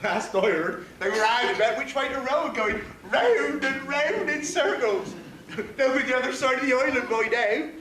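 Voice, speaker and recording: Woman playing a high-voiced cheeky pantomime sidekick. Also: expressive, moderate pace, fairly bright tone. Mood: chatty. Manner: jokey, colloquial. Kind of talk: stage pantomime acting. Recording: echoey noisy hall.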